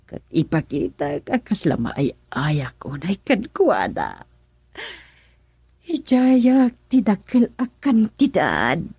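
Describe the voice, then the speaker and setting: Husky, swooping scheming woman. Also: fairly low pitch, huge pitch swings, slow pace, mellow, dry recording, heavily acted. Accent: Ilocano. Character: scheming gloating woman plotting over a romance. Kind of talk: Filipino radio drama acting.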